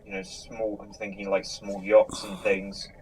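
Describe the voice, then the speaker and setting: A man's voice: lowish voice, monotone, unhurried, darkish tone, low-quality recording. Man chatting casually over background noise. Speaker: host reading audience questions. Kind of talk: casual discussion.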